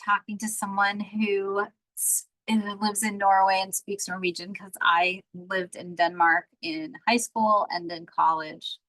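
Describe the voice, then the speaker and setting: A woman from the United States hosting an online office-hours call, chatting in a friendly way and quick with encouragement. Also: mid-range voice, fairly even intonation, pushed and bright, dry recording, poor audio. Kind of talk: casual chat on a video call. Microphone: video call.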